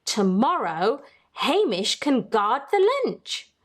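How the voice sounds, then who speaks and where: A woman's voice: fairly high pitch, sing-song, slow, exaggerated voice. A woman voicing a kindly, clever lighthouse keeper's wife in a storybook. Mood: decisive.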